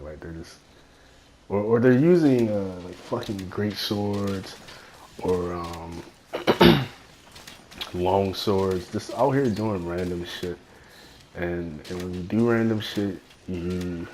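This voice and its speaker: A young man with a cold and a raspy voice, rambling through casual gameplay commentary into a phone headphone mic, full of fillers and swearing. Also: low-pitched, darkish tone, a little hiss or hum. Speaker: gaming YouTuber.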